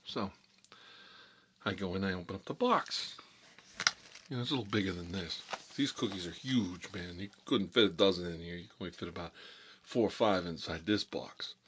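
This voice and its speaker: A man playing a gruff, sardonic mob boss who rambles and repeats himself through mock threats, in an ASMR-style comic monologue with a husky voice. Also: deep voice, normal speed, long pauses, fairly bright tone. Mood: sarcastic, needling.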